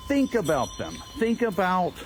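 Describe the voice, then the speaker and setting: An American man hosting an informal outdoor livestream, addressing viewers and a small crowd in an earnest, deliberate, speechmaking way. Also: high-pitched, wildly swooping pitch. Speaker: livestream host and organizer of a public reading. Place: outdoors on a town street. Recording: very noisy outdoor livestream with ringing bells. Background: loud ambient noise and a ringing bell.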